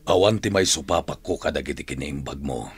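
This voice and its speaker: A man playing a grateful, gentle jailed man in an Ilocano radio drama, speaking in a measured, earnest way. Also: very deep voice, slightly rough, no room sound, heavily acted.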